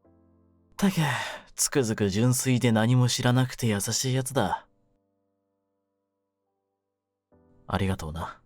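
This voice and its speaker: A man playing a jaded, domineering yet secretly tender young mafia kidnapper in an audio drama, speaking low in a rough, cool, deliberate way. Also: a little mellow, soft background music, lightly acted. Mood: gruff, softening. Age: young adult. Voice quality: low-pitched. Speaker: voice actor in a situation voice drama. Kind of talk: Japanese situation-voice audio drama addressed to the listener.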